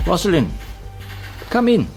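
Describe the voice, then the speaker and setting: An actor playing a stern, formal East German state security officer in a radio drama. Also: male voice, high-pitched, wildly swooping pitch, a little slow, over music, full character voice. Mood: officious.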